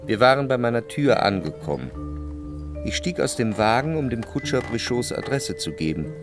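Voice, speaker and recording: The introspective narrator of a literary radio play. His phrasing rises and falls widely around a medium pitch, thoughtful and restrained. Music plays clearly underneath him. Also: big pitch swings, moderate pace. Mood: reflective. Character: introspective well-to-do male narrator, reflective and restrained. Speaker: first-person narrator. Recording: music playing behind the voice.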